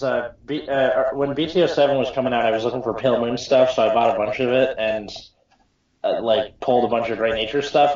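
A man's voice: medium pitch, darkish tone. Hesitant young man, echoey room. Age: 20s. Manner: hesitant, many fillers. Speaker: co-host. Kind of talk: casual group chat. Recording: echoey room, distant mic, dull sound.

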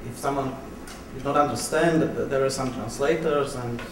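A man from Slovakia with a Slovak accent, speaking as a panel guest, halting and repeating words as he searches. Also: medium pitch. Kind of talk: unscripted panel answers. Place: public event room, recorded at a distance. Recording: distant echoey hall, clearly noisy.

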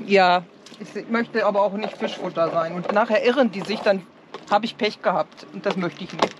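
A woman's voice: mid-range voice, moderate pace. Dry-humored older woman fishing off a boat. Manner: dry, good-humored, laughs easily. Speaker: sailing vlogger's partner. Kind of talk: casual banter while fishing. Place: outdoors on a sailboat in a lagoon. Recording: outdoor wind and water noise.

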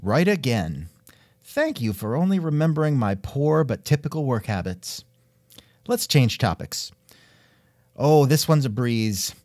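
A man's voice: mid-range voice, sing-song, a little slow, darkish tone, no echo, clean studio sound. A man hosting a scripted comedic interview, with a wry, joking manner. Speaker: podcast host and interviewer.

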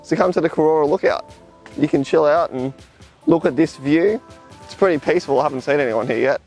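A young Australian man vlogging casually outdoors at the seaside, chatty and relaxed, filmed by a camera a few metres off. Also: a little high, brisk, faint music behind. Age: young adult. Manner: chatty, relaxed, filler-heavy, gestures while walking. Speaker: travel vlogger. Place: outdoors at a sunny seaside lookout, jetty and beach. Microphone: camera on a tripod a few metres away.

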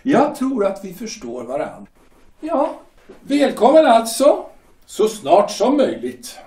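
An actor playing a shipyard director who talks in a measured, hesitant way with drawn-out pauses. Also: male voice, sits high, huge pitch swings, warm tone, far from the mic. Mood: cool, probing. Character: smooth, veiled-threatening male shipyard director. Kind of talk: amateur audio drama acting. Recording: roomy echo, voice set back from mic.

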